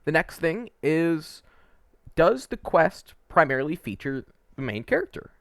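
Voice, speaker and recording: A man's voice: on the high side, sing-song, a little slow, a bit bright, no room sound, studio quality. A man giving casual, opinionated video game commentary as a host, conversational and a little hesitant, wandering into asides.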